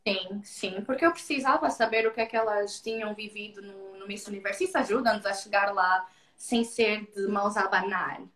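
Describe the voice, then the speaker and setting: A woman's voice: medium pitch, fairly even intonation, a little fast, fairly bright tone. A young Angolan woman with a slightly Brazilian-tinged Portuguese accent, speaking frankly and repeating words for emphasis as a guest in a live video-call interview. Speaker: guest, former beauty queen. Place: indoors, seated before a stone wall. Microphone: video call from a laptop. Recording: roomy video call audio.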